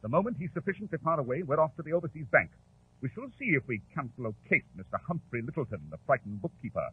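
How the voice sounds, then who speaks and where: Confident British sleuth, clipped theatrical diction, husky edge, tinny 1940s radio recording. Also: male voice, a little high, big pitch swings, fast talker, exaggerated voice. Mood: brisk, assured. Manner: clipped, precise, theatrical, self-assured. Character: brisk, confident, brilliant male London detective. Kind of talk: 1940s radio drama acting.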